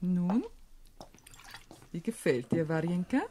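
An actress playing a shrewd, proud, self-possessed widowed sister in a German radio play, speaking deliberately and expressively. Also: female voice, on the low side, expressive, dark tone, a little hiss or hum. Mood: probing, smooth.

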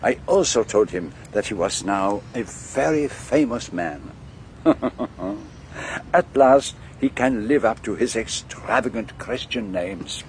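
Low-voiced, precise French-accented gentleman detective. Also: expressive, a little hiss or hum. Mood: amused, sly. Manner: precise, deliberate, theatrical explaining. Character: brilliant, methodical, self-assured foreign gentleman detective. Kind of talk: BBC radio drama acting.

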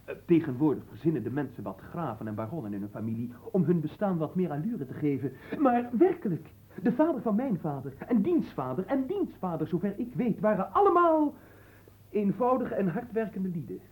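A man's voice: sits high, big pitch swings, a little fast, darkish tone, low-quality recording. A man acting in a radio drama as a humble, earnest young manservant, speaking formally and politely with some hesitance. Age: young adult.

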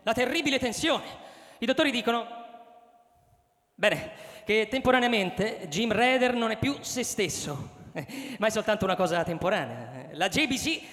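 A man's voice: high-pitched, expressive, a bit bright. An actor playing a slick, gushing male game-show host in a radio drama, hyping to viewers with folksy asides. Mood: smooth reassurance. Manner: breathless hype, drawn-out words, folksy asides to viewers. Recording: long hall reverb, music behind.

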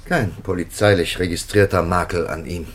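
An actor playing a grave, hesitant, philosophical prelate in a 1950s radio play, speaking in a halting, deliberate way. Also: male voice, low-pitched, steady tone. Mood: polite.

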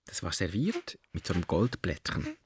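A man's voice: low-pitched, huge pitch swings, moderate pace, slight background noise. A man with a Swiss German accent tells an anecdote casually, conversationally searching for words and adding tag questions.

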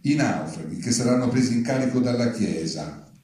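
Deadpan man reading aloud, very echoey. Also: mid-range voice, normal speed. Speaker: reader at a public reading. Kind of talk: live reading of satirical open letters. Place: large indoor venue, live event. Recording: distant, very echoey hall.

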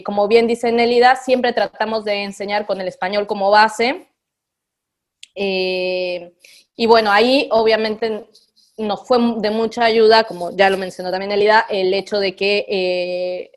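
A woman teacher, reflecting aloud in a radio interview with frequent fillers. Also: mid-range voice. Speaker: literacy teacher.

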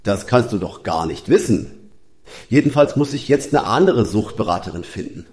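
Needy male blogger played by an actor, whiny and puffed-up, highly animated intonation, comic radio play. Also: moderate pace, natural voice. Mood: grumbling. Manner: whiny, self-important. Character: needy, self-pitying blogger addicted to online applause. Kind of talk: satirical radio play dialogue.

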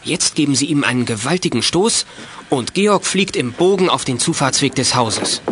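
A man's voice: medium pitch, lively intonation, moderate pace, background noise, close to an everyday voice. A man narrating a children's detective audio drama in scripted storytelling style.

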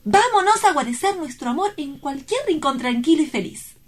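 A woman's voice: high voice, animated, moderate pace. A woman playing an exuberant, romantic young woman in love in an audio drama, effusive, playful and full of exclamations. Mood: gushing, playful. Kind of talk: theatrical audio drama acting.

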